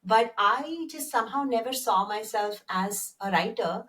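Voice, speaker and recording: A woman's voice: on the high side, measured pace, a bit bright. A woman host, chatting conversationally and thinking aloud in an interview. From India. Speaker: podcast host. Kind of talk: casual interview chat. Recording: voice distant from the mic.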